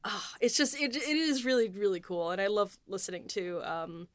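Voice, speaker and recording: A woman's voice: medium pitch, moderate pace, no echo. A woman co-hosting a podcast, chatting with enthusiasm and frequent restarts. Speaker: podcast co-host and producer. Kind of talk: casual chat.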